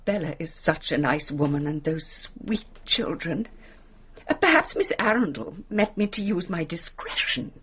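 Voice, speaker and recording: An actress playing a fussy, genteel English lady companion in a radio drama, hesitant, apologetic and gossipy, with a breathy English voice. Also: low voice, animated, unhurried, fairly bright tone, exaggerated voice. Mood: uneasy, defensive. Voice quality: breathy, husky. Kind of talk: British radio drama acting.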